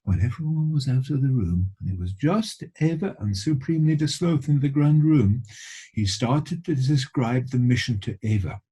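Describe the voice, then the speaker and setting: A man reading a children's story aloud in an expressive storytelling style. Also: mid-range voice, moderate pace, breathy edge, rough recording. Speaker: story reader.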